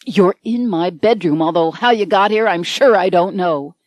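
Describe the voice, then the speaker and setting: A woman's voice: fairly low pitch, moderate pace, darkish tone, no room sound, lightly acted. A woman voicing a hungover, exasperated male writer who talks in a flustered rush.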